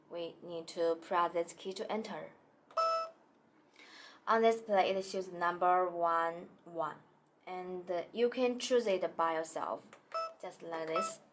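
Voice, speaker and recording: Brief: woman host, lowish voice, halting product walkthrough, light background hiss. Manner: halting, many fillers and restarts. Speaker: company product demonstrator. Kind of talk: hesitant product tutorial. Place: small indoor studio at a table.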